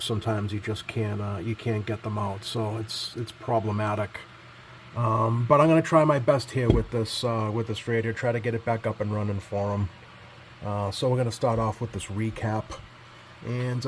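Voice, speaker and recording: A man giving casual, unscripted commentary as a repair technician, pausing often with many ums as he goes. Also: lowish voice, slight background noise. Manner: halting, hesitant delivery heavy with ums and pauses. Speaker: repair technician presenting his bench work.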